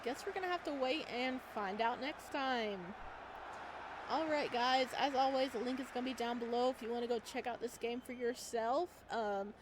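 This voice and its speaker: A woman's voice: a little high, normal speed, noisy background. A woman reading a visual novel aloud as a let's play host, with casual, amused asides.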